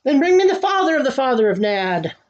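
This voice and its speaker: A woman voicing a blustering, puzzled king who booms commands. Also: very animated, brisk, slight background noise. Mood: bellowing. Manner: booming commanding calls. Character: blustering puzzled king, middle-aged man. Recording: dull lo-fi home recording.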